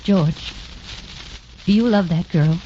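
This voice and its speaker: An actress playing a proud, demanding young socialite fiancée, reproachful and dramatic in 1940s radio drama style. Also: female voice, on the low side, slow pace, dark tone. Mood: hurt, scornful. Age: young adult. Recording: dull, faintly noisy 1940s radio recording.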